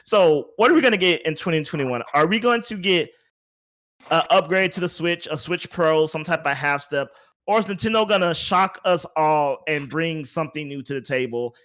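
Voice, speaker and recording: A man's voice: fairly high pitch, moderate pace, fairly bright tone. A man hosting a casual video game podcast, thinking aloud in a rambling way and repeating his words. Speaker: podcast host.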